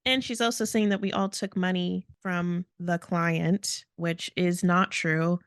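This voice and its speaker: Lowish, creaky-voiced woman podcaster. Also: steady tone, unhurried, dry recording, clean studio sound. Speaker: podcast co-host. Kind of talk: casual chat.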